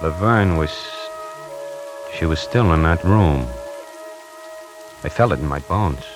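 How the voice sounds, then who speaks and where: A man's voice: very deep voice, lively intonation, slow, heavily acted, low-quality recording. An actor playing a wisecracking necktie salesman who narrates his own story in a 1940s radio drama, hardboiled and slangy. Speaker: narrator and lead character. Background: music.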